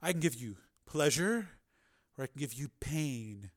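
A male narrator plays a dominant, teasing woman in an audiobook. The delivery is deliberate and ominous, giving orders with controlled authority. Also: mid-range voice, lively intonation, a bit bright. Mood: slow teasing.

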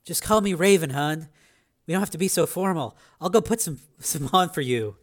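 A man's voice: a little high, lively intonation, normal speed, pitched above the performer's own voice, natural voice. A man voicing a tough, fiery, protective woman who speaks plainly and intensely in an audiobook. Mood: caring. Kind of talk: audiobook character voice.

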